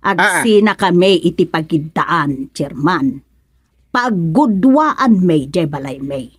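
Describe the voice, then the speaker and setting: A woman's voice: lowish voice, expressive, slow, husky, dry recording, clean studio sound, heavily acted. A woman playing an aggrieved, stubborn wife in a radio drama-comedy, plaintive and emphatic, with an Ilocano accent. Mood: stubborn, insistent.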